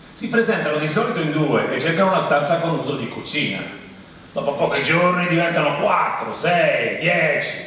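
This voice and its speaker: A man from Rome reading a document aloud on stage in a formal, measured recitation. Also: fairly high pitch, fairly bright tone. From Rome, Italy. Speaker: monologue performer. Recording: very echoey, distant, faint noise.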